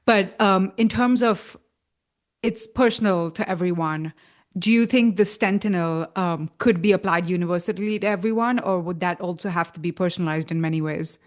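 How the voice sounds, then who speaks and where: Measured woman interviewer, lower voice. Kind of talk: interview question.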